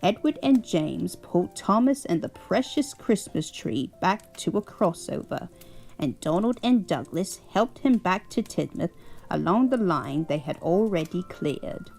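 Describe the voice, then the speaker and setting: A woman's voice: on the low side, expressive, measured pace, vocal fry, darkish tone, soft background music. A woman voicing a kindly elderly English grandmother who reads a bedtime story aloud and fusses over her grandchild. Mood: cozy. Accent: put-on English. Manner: doting, chuckling, fussing over a grandchild.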